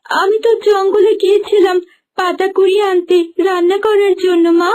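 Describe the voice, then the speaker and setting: Woman as a very high-voiced young village girl. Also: level tone, normal speed, a little mellow. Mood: meek. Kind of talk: cartoon dubbing.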